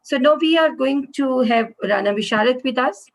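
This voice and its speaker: A woman's voice: high-pitched, moderate pace. A woman moderating an online meeting over a video call, polite and full of hesitations. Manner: polite, many hesitations and fillers.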